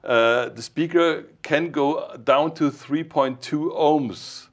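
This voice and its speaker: A man giving an informal technical explanation as an expert, in halting, piecemeal phrases. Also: fairly high pitch.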